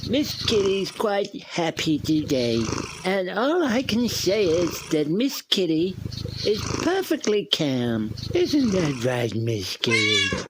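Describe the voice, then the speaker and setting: A woman playing a doting, anxious cat owner in a comedy radio monologue, theatrical and cooing. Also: medium pitch. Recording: clearly noisy recording with clicks.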